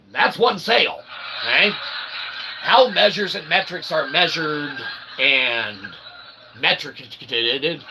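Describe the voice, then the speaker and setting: A man's voice: on the high side, vocal fry, sharp tone, slight background noise, rough recording. A man giving a rambling explanatory monologue to his audience in wordy, pseudo-academic phrasing, often checking in with short tag questions. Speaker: self-published author and channel host.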